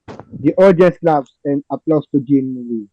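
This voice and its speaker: A man's voice: a little high, measured pace, dry recording. A man narrating stage directions in an amateur table read, recorded dry and a little dull. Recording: dull lo-fi, dry close sound.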